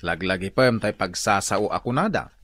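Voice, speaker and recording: A man's voice: low-pitched, lively intonation, fairly quick, fairly bright tone, dry recording. A man playing an exasperated, chiding village husband in a comedic Ilocano radio drama.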